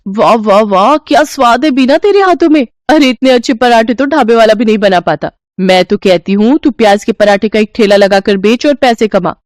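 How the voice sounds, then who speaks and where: A woman's voice: a little high, animated, normal speed, hardly any pauses, no room sound, studio quality, lightly acted. A woman doing a chatty, cheerful neighbor. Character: cheerful talkative female neighbor.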